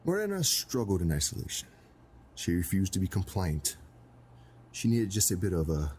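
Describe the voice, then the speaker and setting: A middle-aged crime boss and stern father with a low voice. He speaks in a formal, deliberate, menacing way, cold and domineering, as in a screen drama. There is clear noise behind him. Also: huge pitch swings, a little mellow. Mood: detached, firm. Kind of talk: dramatic film-style acting. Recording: clearly noisy background ambience.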